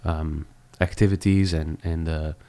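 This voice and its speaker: A man from Israel, a musician, chatting easily as a podcast guest at a friend's home, agreeable and quick to laugh. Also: extremely low pitch, steady tone, dark tone. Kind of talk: casual interview chat. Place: host's home.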